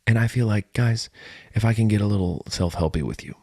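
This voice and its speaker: Guy in his 40s, pretty low voice, deadpan podcast joking, dry close sound. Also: brisk, no room sound. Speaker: podcast co-host. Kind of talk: casual joking chat.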